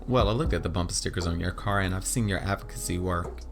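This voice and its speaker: A polite, smitten postman in an audio drama, acted out by the narrator. His voice sits low and he speaks courteously, with a soft flirtatious pull, over clear music. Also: fast talker. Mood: softly flirtatious. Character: smitten, polite postman, smooth-talking suitor, a man.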